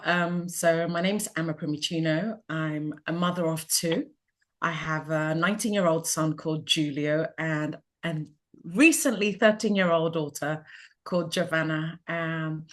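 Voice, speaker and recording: A middle-aged woman from Ghana, speaking calmly and thoughtfully about her life in an interview. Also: lowish voice. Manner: calm, measured, thoughtful. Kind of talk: reflective personal interview.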